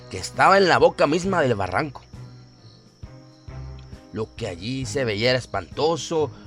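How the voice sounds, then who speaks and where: A man's voice: medium pitch, fairly bright tone. A man narrating a scripted horror radio story in a dramatic, solemn and suspenseful manner. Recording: music bed behind the voice. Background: music.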